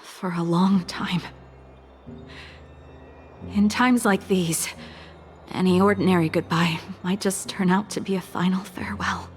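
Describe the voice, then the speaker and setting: An actress playing a resolute, humble widowed warrior in a game, with an earnest, stoic delivery. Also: female voice, on the low side, fairly even intonation, unhurried, pauses often, slight background noise, close to an everyday voice. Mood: solemn, resolute. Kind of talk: dramatic game voice acting.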